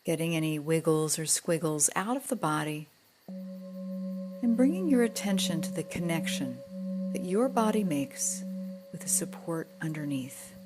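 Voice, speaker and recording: Slow, low-pitched woman guiding meditation. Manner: soothing, gentle, unhurried with soft breathy moments. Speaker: yoga nidra guide. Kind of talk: guided relaxation meditation.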